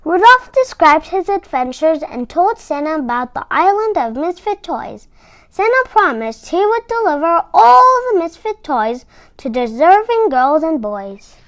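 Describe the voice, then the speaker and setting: A woman storyteller reading a children's storybook aloud with expressive, emphatic phrasing. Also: extremely high pitch, a little slow, no room sound.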